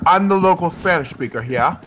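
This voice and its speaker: A man's voice: high voice, animated, fairly quick, a bit bright, slight background noise, rough recording. A young man chatting casually while playing a game with friends, goofy and teasing, often repeating himself. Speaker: gamer. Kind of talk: casual gaming chat with friends.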